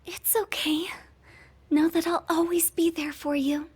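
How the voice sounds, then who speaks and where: Super high sweet gentle girl voice, quiet music behind. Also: little pitch movement, unhurried. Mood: tender. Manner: soft, caring. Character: sweet, gentle young woman. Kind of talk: video game voice acting. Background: game music.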